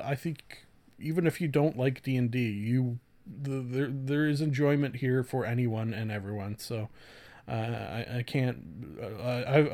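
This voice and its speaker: A man hosting a solo podcast in a casual, rambling way, halting often, swearing lightly and putting himself down. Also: mid-range voice, darkish tone. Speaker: podcast host.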